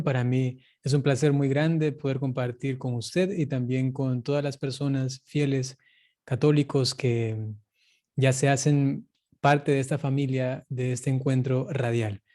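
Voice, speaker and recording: A man with an Ecuadorian accent, a priest speaking as an interview guest in an earnest, measured, reflective way. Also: medium pitch, steady tone, warm tone.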